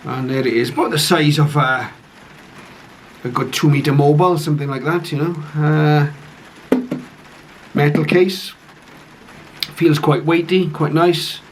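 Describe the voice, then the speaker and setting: Guy doing a casual gadget unboxing, bit high voice, hesitant and low-key, some noise in the recording. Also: steady tone, a little slow, pauses often. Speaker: radio hobbyist reviewer. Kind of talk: casual unboxing and first-look commentary. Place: conservatory, later a home radio room. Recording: clearly noisy home recording.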